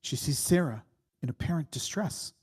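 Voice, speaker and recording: A man reading screenplay stage directions as dramatic narration, in a deliberate, suspenseful way. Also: a little high, animated, darkish tone, breathy edge.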